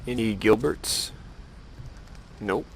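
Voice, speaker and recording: A man's voice: fairly low pitch, takes it slowly, gravelly, slight background noise, rough recording. A man giving casual, rambling gameplay commentary, thinking aloud with playful self-mockery. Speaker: gamer narrating his own playthrough.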